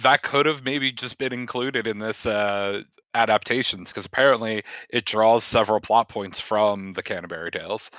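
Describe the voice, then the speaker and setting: Bright-voiced man on a slightly dull mic. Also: fairly low pitch, normal speed, few pauses, dry recording. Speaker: podcast co-host. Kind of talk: casual film discussion chat. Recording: slightly dull, lo-fi microphone sound.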